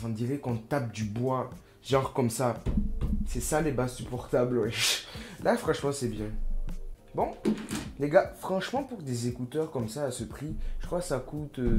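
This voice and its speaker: A young man casually unboxing and testing earbuds on his vlog, thinking aloud in a chatty, slangy, self-mocking way. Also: medium pitch. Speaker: YouTuber.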